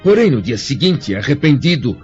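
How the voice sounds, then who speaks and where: An actor playing a troubled, bewildered Roman senator in a historical audio drama, speaking formally and theatrically. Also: male voice, mid-range voice, big pitch swings, moderate pace, darkish tone, close to an everyday voice. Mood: regretful. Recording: dull lo-fi audio with faint music.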